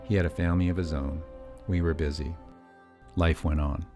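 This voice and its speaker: A middle-aged man from California tells his family's story as documentary voice-over. His voice sits extremely low, and he delivers the script with dry, self-mocking humor. Soft music plays faintly underneath. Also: little pitch movement, normal speed. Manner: wry, self-deprecating asides. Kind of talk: scripted personal documentary narration.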